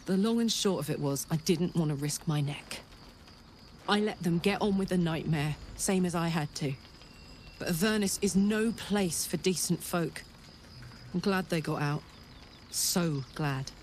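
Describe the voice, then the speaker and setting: A woman's voice: fairly low pitch, a little slow, darkish tone, slight background noise, close to an everyday voice. Woman playing a blunt rueful warrior. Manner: blunt, rueful, plain-spoken. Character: gruff soldier woman with an infernal engine heart.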